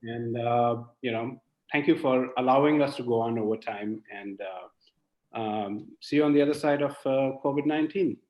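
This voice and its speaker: A man hosting a live online interview, asking questions in a relaxed, light-hearted way over a video call. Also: mid-range voice, normal speed. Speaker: host. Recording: roomy, dull lo-fi call audio.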